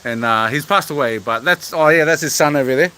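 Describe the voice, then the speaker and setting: A man of mixed Australian and Tongan roots, rambling through a relaxed livestream chat with lots of fillers. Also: on the high side, brisk, smooth. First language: English. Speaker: livestream host.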